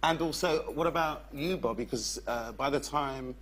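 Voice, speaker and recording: A man's voice: mid-range voice, normal speed, heavy background noise. A man, putting chatty questions as host of a live on-stage interview. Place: theatre stage before an audience.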